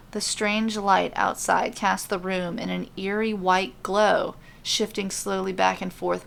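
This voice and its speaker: A woman's voice: fairly low pitch, gravelly, a little hiss or hum. A woman narrating a scripted story as a podcast storyteller.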